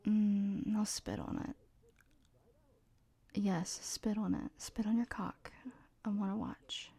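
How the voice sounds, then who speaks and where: A young adult woman whispering a slow, teasing, commanding audio roleplay close to the mic, with soft laughs between lines. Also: mid-range voice, level tone, vocal fry, breathy edge. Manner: mostly whispered.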